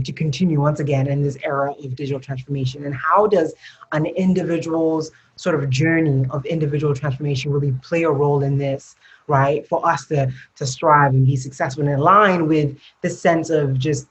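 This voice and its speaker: A podcast host who praises the guest warmly and asks long, winding questions. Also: medium pitch, husky, low-quality recording. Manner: effusive, affirming, rambling questions. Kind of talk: podcast interview.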